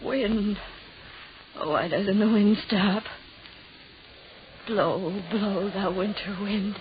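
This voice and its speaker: A woman's voice: mid-range voice, very slow. An actress playing a frightened, unhinged young woman in old-time radio horror, pleading in breathless repeated phrases. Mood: bewildered dread. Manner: breathless repetitive pleading, melodramatic. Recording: noisy, muffled old radio recording.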